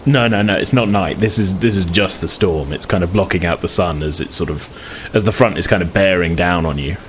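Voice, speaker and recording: Brief: game master, very low voice, chatty and full of restarts. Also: male voice, a little fast, slight background noise. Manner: chatty, hesitant, many restarts. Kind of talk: describing scenes in a tabletop game.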